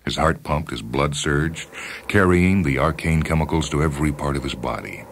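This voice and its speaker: Very deep-voiced man narrating dramatically. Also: slight background noise. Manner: deliberate, emphatic phrasing with dramatic pauses. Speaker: story reader on a radio programme. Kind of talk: dramatic scripted short-story narration.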